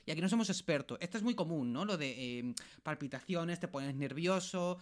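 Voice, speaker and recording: A man's voice: on the high side, expressive, brisk. A young man with a Spanish accent from Spain, chatting casually as a podcast co-host. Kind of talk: casual chat.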